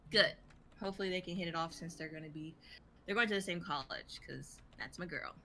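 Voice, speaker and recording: Bright, lower-voiced young woman acting a part. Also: fairly quick. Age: young adult. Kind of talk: dramatic script reading, casual dialogue. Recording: noticeable background noise, online stream.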